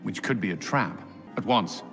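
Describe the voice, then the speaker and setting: An actor playing an earnest, guilt-haunted military commander in a fantasy video game, speaking in a formal, serious way. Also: male voice, fairly low pitch, expressive. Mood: businesslike, grave. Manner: formal, earnest, halting when emotional. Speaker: military advisor. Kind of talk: dramatic video game voice acting. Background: loud background music.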